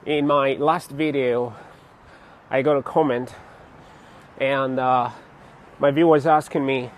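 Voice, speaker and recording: A young man from Cameroon chatting casually to his vlog viewers outdoors on a city street, laughing often and pausing with fillers. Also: mid-range voice, steady tone. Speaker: vlogger. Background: faint street traffic.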